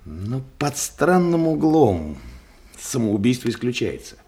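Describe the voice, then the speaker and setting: An actor in a radio play voicing a terse police forensic expert who reports in clipped, factual phrases. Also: male voice, medium pitch, sing-song, takes it slowly, mellow, husky, heavily acted. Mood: matter-of-fact.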